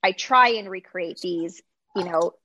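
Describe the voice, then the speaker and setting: A woman's voice: fairly low pitch, a little slow, pushed and bright, no echo. A woman host, a native Greek speaker from the United States, talking in a rambling, conversational way with many restarts. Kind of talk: conversational interview.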